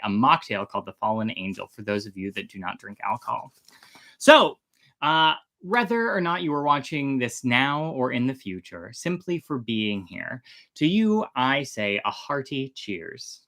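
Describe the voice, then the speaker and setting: An expressive storytelling host talks casually to viewers. The voice sits at a middling pitch and swings widely up and down, with a slightly theatrical delivery. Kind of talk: casual livestream chat.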